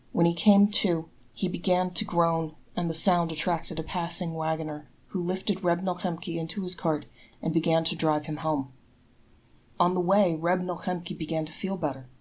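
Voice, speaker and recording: A woman's voice: lowish voice, a little mellow, rough recording. A woman storyteller reading scripted folktales aloud as narration.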